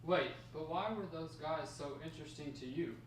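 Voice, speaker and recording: A man playing a curious, self-doubting high-school grandson in a table read, with a casual, plain way of asking. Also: moderate pace, lightly acted. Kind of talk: amateur table read of a script. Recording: echoey room, distant mic, faint noise.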